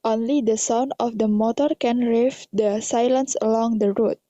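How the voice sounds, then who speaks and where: A woman narrates a radio drama in a fairly high, soft voice with an Indonesian accent. She reads the scripted English carefully. The recording is dull and lo-fi. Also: steady tone, normal speed. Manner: careful non-native English reading.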